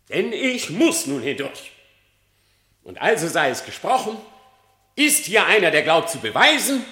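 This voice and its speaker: A sly pleading fox. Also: male voice, a little high, pushed and bright, roomy sound, exaggerated voice. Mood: defiant. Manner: pleading, wheedling, rising to bold defiance. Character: sly cunning male fox on trial. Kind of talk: radio play acting.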